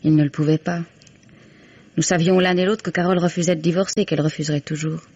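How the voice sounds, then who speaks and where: An actress playing a composed, candid young mistress in 1950s French radio drama, with measured, formal diction. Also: female voice, low voice, steady tone, a little mellow, slightly rough. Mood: composed, confiding. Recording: dull, band-limited vintage radio recording.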